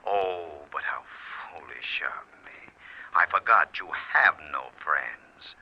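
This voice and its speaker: A man's voice: rumbling low, animated, slow pace, husky, full character voice. An actor playing a sadistic, mockingly polite murderer in an old-time radio thriller, toying with his victim in silky mock concern. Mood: silky threat. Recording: muffled, noisy vintage radio recording.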